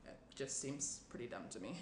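A woman's voice: a bass voice, expressive, a little fast, a little mellow. A young woman playing a guarded, sarcastic woman on an awkward morning-after, dry and brusque, in a naturalistic table read. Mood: dry, challenging. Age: young adult. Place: indoor room, seated at round table. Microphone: shared desk microphone on table. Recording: roomy echo, clear background noise.

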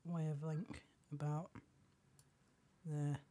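A woman streaming a game live, hoarse from a cold and often clearing her throat, talking in slow, halting asides to herself. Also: low voice, flat delivery, warm tone. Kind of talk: casual live game commentary.

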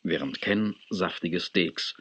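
A man's voice: low voice, steady tone, slow, background noise. A man narrating a children's adventure radio play as its storyteller, reading from a script. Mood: calm storytelling. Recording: dull tape sound.

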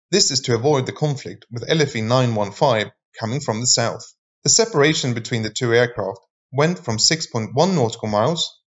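Male narrator for technical explainer, mid-pitched, measured and formal, slightly muffled lo-fi recording.

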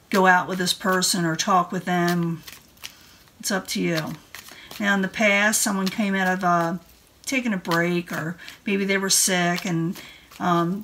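A woman's voice: lowish voice, a bit bright, a little hiss or hum. A woman giving a casual tarot card reading in an informal, rambling way. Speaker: tarot reader. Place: indoor room at a table.

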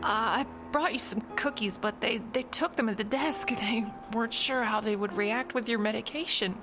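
A woman playing a timid, fussing mother in a radio horror drama, speaking haltingly. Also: a little high, over music, lightly acted. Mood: nervously cheerful. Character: timid, fussing mother visiting her daughter.

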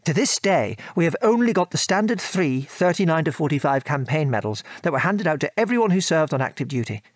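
A man's voice: a little high, big pitch swings, brisk, no room sound. An actor playing a modest, remorseful English father and former RAF bomb aimer in an audio drama, with a British accent and hesitant, genteel speech. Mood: bitter. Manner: hesitant, reflective, genteel old-fashioned turns of phrase. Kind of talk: audio drama acting, reminiscing monologue.

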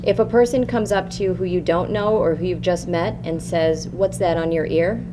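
A woman with a notably low, deep voice leads a panel, putting questions to the speakers in a measured, even tone. The recording from the conference room carries a clear hiss and background noise. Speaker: panel moderator.

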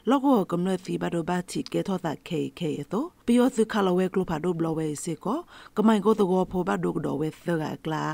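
Female news reader, lowish voice with vocal fry, dry studio sound. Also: dark tone, no echo. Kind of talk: scripted radio news reading.

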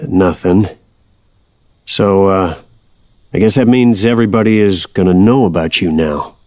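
An actor playing a folksy, cautious, gently teasing middle-aged father in an audio drama. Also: low-pitched, unhurried, no room sound. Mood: worried. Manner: folksy, homespun.

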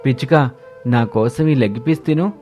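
A man plays a crow husband from a cartoon fable, in a medium-pitched male voice. He is suspicious and stubborn, talking grudgingly to his wife over background music. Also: moderate pace, a little mellow, lightly acted. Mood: coaxing, affectionate. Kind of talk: dramatized moral story cartoon dialogue. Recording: music bed behind voice.

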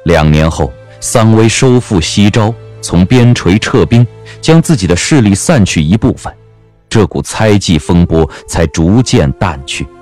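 A man narrating a scripted audio drama as an expressive storyteller. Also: low-pitched.

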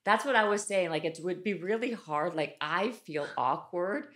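Low-pitched canadian lady hosting a podcast, chatty and animated, studio boom mic. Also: normal speed. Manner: animated, talks in loose restarts with lots of "like". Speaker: podcast host. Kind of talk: casual interview chat. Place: studio room with wood-panel wall. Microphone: desk microphone on boom arm.

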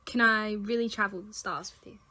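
A woman's voice: mid-range voice, fairly even intonation, a little fast, pushed and bright. An actress playing an eager, curious young woman, earnest in manner, in a science fiction audio drama.

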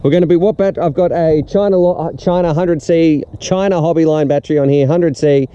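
A man hosting, asking upbeat, quick questions in a casual outdoor chat. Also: on the high side, steady tone, mellow, clean tone, highs cut off. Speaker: channel host.

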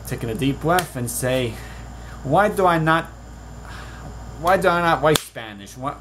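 A man's voice: a little high, slight background noise, low-quality recording. A young man with a Puerto Rican accent talking to a webcam in his bedroom, earnest and rambling, often restarting his sentences. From Puerto Rico. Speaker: author. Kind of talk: casual vlog monologue. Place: small bedroom. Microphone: webcam at desk.

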